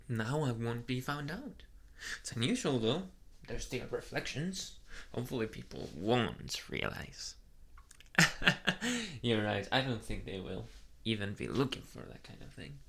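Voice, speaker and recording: A shy, sweet young vampire boyfriend , acted by a man, speaks slowly in a soft ASMR roleplay. His voice has a bright edge with frequent creak. He hesitates and stammers, nervous and easily flustered. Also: mid-range voice. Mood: bashful, amused. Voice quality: soft, breathy at times.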